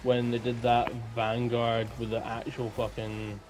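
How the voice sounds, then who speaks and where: A man's voice: medium pitch, monotone, unhurried, a little mellow, soft background music, poor audio. A man co-hosting a podcast in casual, informal conversation. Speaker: podcast co-host.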